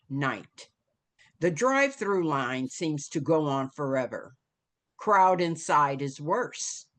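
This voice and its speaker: A woman reading screenplay stage directions aloud as scripted narration, with dramatic, deliberate phrasing. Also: low voice, a bit bright.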